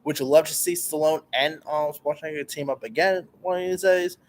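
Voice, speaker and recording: A man's voice: fairly high pitch, a little fast, slight background noise. A man hosting his own channel, reviewing a movie in a casual, rambling way, with lots of fillers and restarts and plenty of enthusiasm.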